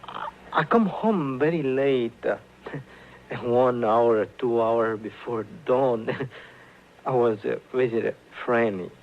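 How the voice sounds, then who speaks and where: A man playing a humble, chatty, sheepish townsman who speaks halting English with a Mexican accent in a radio western. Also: medium pitch. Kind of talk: old-time western radio drama acting. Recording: dull, hissy old radio recording.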